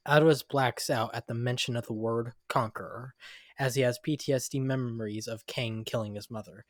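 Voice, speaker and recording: A man's voice: mid-range voice, no room sound, clean studio sound. A man narrating a scripted dramatic story, now and then stumbling over the words.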